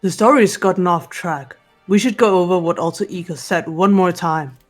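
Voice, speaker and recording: A woman plays a blunt, analytical girl from a game. Her voice sits on the low side and stays deadpan and businesslike. There is faint music behind it. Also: normal speed, lightly acted. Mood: cool, businesslike. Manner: deadpan, terse. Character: cool, blunt, analytical teenage girl detective. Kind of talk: amateur fan voice acting of a game.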